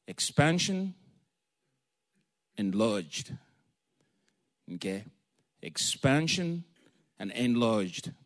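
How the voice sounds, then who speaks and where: A man from Africa, a preacher giving a sermon in a lively, joking, storytelling way and calling for amens. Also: medium pitch.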